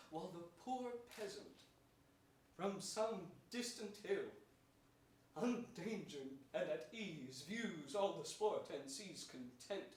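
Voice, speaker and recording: Man playing a melancholy deposed king, echoey theater. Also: a little high. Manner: declamatory, earnest. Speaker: stage actor. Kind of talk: theatrical staged reading of Shakespearean verse. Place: large indoor theater stage before an audience. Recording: echoey theater, distant microphone, some noise.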